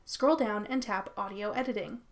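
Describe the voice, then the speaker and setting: A woman's voice: mid-range voice, normal speed. A woman narrating a scripted how-to tutorial as its host.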